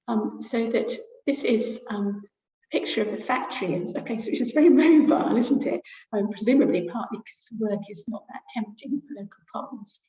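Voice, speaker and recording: A woman's voice: on the high side, normal speed, warm tone. Hesitant woman lecturing on a roomy webinar. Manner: hesitant, many fillers, thinking aloud. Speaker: university lecturer presenting research. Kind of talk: academic webinar talk. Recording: roomy, dull lo-fi webinar audio.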